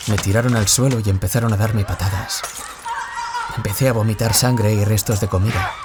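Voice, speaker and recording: Actor as low-voiced, wounded young Spanish man. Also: fairly even intonation, brisk, heavy background noise, lightly acted. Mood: pained. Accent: Spanish, from Spain. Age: young adult. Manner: confessional, dramatic storytelling. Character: wounded young man, former bullying victim, anguished, confiding. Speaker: interviewee telling his story. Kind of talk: scripted audio-drama monologue in interview form.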